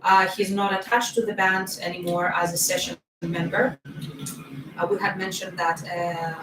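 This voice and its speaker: A woman's voice: lowish voice, little pitch movement, normal speed, background noise. Woman with a foreign accent rambling on a stream, lots of ums, kinda far from the mic. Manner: chatty, rambling, frequent fillers. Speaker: band singer and co-host. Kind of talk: casual livestream chat. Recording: roomy sound from a distant mic.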